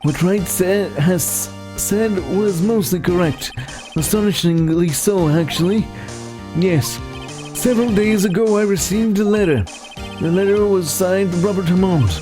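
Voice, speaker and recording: Man as a reserved, higher-voiced proud young prosecutor. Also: unhurried. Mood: reserved, guarded. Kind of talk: live voiced playthrough of a courtroom video game. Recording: game music under the voice.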